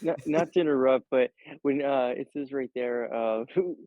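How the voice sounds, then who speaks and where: A man's voice: mid-range voice, moderate pace, dull audio, over a noisy crowd. A man chiming in with wry, rambling jokes during a fan fiction reading. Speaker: co-host commenting.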